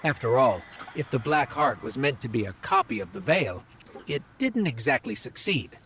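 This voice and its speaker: An actor voicing a small, eager floating robot companion, quick and wry in video game dialogue. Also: male voice, medium pitch, very animated, fairly bright tone. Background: game score music.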